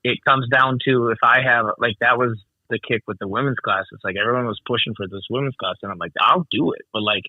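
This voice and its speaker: A man's voice: mid-range voice, quick pace, few pauses, no echo, phone-quality sound. A man who organizes races, chatting casually on a call with many restarts and fillers. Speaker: snowmobile race organizer. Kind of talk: casual phone conversation.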